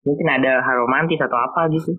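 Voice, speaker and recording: A man's voice: medium pitch, fairly even intonation, fast. Man hosting a chat, lo-fi sound. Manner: loose, repeats words, eager to ask. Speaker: host. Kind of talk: casual podcast chat.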